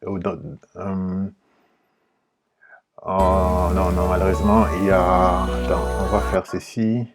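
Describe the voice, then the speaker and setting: A man with a Congolese French accent giving informal political commentary in a halting, repetitive, explanatory way. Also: sits low, level tone, loud music behind the voice. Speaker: political commentator and video host.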